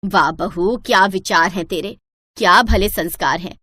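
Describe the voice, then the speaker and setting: A woman's voice: mid-range voice, normal speed, pushed and bright, voice pushed down. A woman voicing an older mother-in-law in a moral story, lecturing and emphatic as she praises or scolds. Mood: gushing approval. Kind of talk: acted moral-story dialogue.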